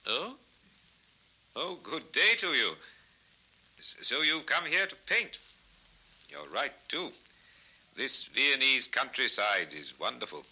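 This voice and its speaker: An actor playing a dreamy, impassioned composer who loves nature, theatrical and deliberate, on a 1960s children's record. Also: male voice, medium pitch, very animated, bright, forceful tone, husky, exaggerated voice, rough recording. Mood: dreamy, rapt. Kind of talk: 1960s children's record radio-play acting.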